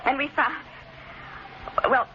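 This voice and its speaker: An actress playing a desperate, devoted wife with an earnest, halting delivery in an old-time radio drama. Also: female voice, high-pitched, steady tone. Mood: frightened. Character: desperate, devoted wife trapped by a dangerous man. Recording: noisy, dull old-time radio recording.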